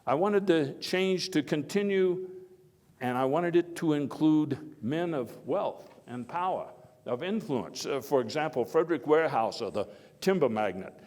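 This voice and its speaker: A man's voice: medium pitch, a little mellow, lightly acted. Man playing an oratorical statesman, echoing hall. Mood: determined. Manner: folksy, oratorical, halting with deliberate pauses. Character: Theodore Roosevelt, hearty elder statesman recalling his conservation crusade. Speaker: historical reenactor giving a speech. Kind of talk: first-person dramatized historical speech, storytelling. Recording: echoey hall with long reverb.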